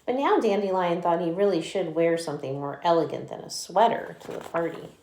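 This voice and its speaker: A woman reading a picture book aloud to children like a teacher, with animated storytelling and chatty asides. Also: on the low side, a little fast, background noise. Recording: echoey room.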